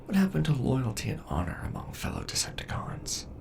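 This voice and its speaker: A man playing a gruff, loyal, protective robot soldier in an audio drama, earnest and rough-edged. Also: mid-range voice, wildly swooping pitch, a little fast, heavily acted. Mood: indignant. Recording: very noisy voice track with ambience.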